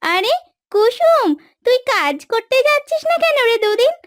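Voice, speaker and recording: A woman's voice: very high voice, big pitch swings, moderate pace, dry recording. A woman voicing an insistent, chatty housemaid who nags her friend, in a cartoon moral story. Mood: urgent warning. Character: chatty, nagging fellow housemaid woman, urgent warning friend. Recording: dry studio voice over background music.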